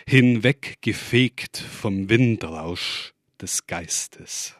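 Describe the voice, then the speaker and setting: A man reading poetry in measured, separated short phrases. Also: fairly low pitch, no room sound, clean studio sound. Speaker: poet reading own work.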